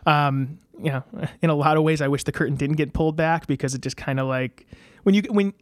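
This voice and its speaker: A man's voice: a little high, fairly even intonation, a little fast, dry recording, studio quality. A man in his thirties, a guest in a casual interview, telling stories with lots of fillers and restarts. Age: 30s. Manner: rambling, many fillers and restarts.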